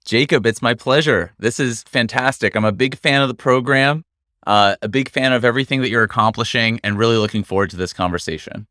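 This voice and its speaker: A middle-aged American man, a guest doctor, talking through his career in a measured, articulate way. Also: medium pitch, sharp tone, dry recording, clean studio sound. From United States. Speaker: guest interventional radiologist. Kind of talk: conversational interview. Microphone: studio microphone.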